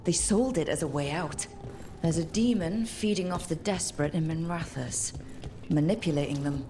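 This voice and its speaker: An actress playing a grim, brooding woman investigator in a fantasy video game, speaking in a measured, dramatic way. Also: deep voice, mellow, a little hiss or hum. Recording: game music behind the voice.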